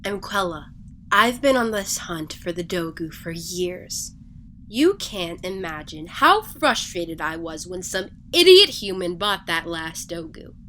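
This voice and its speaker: An actress playing a stern, secretive and impatient alien treasure hunter in an audio drama, speaking in a curt, commanding manner. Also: female voice, on the low side, sing-song, measured pace, a bit bright, slight background noise. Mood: smug, determined.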